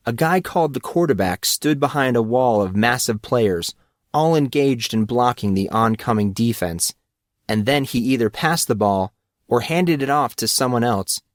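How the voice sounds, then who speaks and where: A male narrator reads a scripted story at a medium pitch. His voice is recorded very dry, with little trace of room. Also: no room sound.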